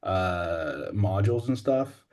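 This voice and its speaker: Man, dark mellow tone, fairly low pitch, casual chat, podcast co-host. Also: animated, slow pace.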